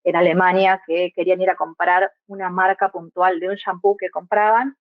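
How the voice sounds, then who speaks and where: A young woman from Argentina with a clear Argentine accent talks through her ideas in a reflective way, often checking in with small tag questions. Her voice comes over a tinny, phone-like line. The sound is dry, with almost no room around it. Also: on the low side, little pitch movement, normal speed, dry recording. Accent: Argentine Spanish. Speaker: guest, migrant mother. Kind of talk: reflective podcast conversation.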